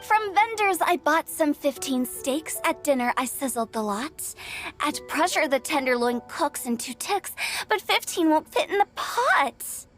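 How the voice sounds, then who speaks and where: A mischievous game-character girl in her teens. She is high-pitched and very animated, with a cheeky, sing-song delivery over faint music. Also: unhurried. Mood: playful. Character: mischievous, playful teenage girl, brash and teasing. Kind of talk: video game voice acting.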